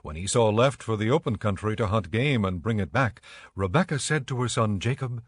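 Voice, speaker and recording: A man narrating scripture in a measured, formal, scripted style. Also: fairly low pitch, animated, slightly rough, dry recording.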